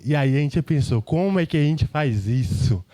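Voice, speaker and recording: A man's voice: on the high side, animated, normal speed, dark tone, dry recording. A Brazilian man giving an informal, jokey tech meetup presentation in colloquial speech full of tag questions. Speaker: engineering team speaker.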